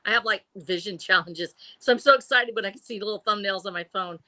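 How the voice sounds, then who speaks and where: A woman's voice: medium pitch, fast, pushed and bright, no echo. A woman in her early sixties chatting casually and effusively as a guest on a panel show. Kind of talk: casual chat.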